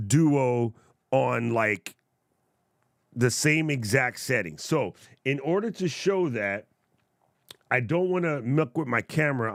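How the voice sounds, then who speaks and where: A man's voice: medium pitch, no room sound. Playful chatty man on a close studio mic. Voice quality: loud voice. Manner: playful, jokey, chatty with viewers. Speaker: tech reviewer livestream host. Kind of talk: casual livestream product demo. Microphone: studio broadcast mic up close.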